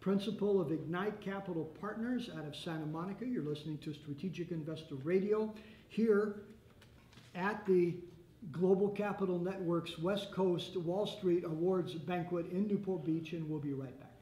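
Older man, radio host, high-pitched, roomy sound, faint hiss, halting questions. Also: warm tone, highs cut off. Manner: halting, with many restarts. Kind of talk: radio interview hosting. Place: hotel meeting room by windows. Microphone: desk microphone, headphones. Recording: roomy with faint ambient noise.

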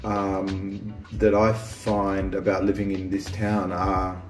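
Aussie guy chatting, kinda low voice, lots of ums and restarts, echoey room w faint music. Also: completely flat delivery, slow. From Australia. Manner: rambling, many restarts and ums. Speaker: vlog host. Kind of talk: casual chat.

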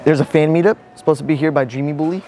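A man's voice: on the high side, fairly even intonation, very fast, warm tone, soft background music. A young American man bantering casually in a slangy, profane way as he pulls pranks in public. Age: young adult. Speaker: prankster, video host. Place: large indoor supermarket. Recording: noisy store ambience, camera microphone.

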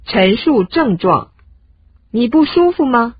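A woman reading short lesson prompts in a clear, scripted way. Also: on the high side, big pitch swings, slow pace, no echo, muffled recording. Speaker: lesson reader.

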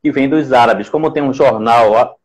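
A man's voice: mid-range voice, moderate pace, darkish tone. A man in his forties with a northeastern Brazilian accent from Recife, hosting a conversational live interview in a didactic way, repeating phrases for emphasis. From Pernambuco, Brazil. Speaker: live stream host. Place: indoor room at home. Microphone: earphone mic on a video call.

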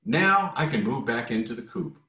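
A man voicing a huge, gentle male chick who speaks low and calmly, reading from a picture book. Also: moderate pace. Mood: relieved. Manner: low, calm and reassuring. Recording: roomy echo.

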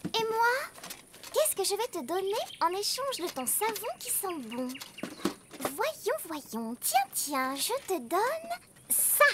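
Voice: extremely high pitch, sing-song, slow pace, heavy background noise, exaggerated voice. A performer voicing an insistent, childlike little girl donkey who bargains eagerly in a French preschool cartoon. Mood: coaxing.

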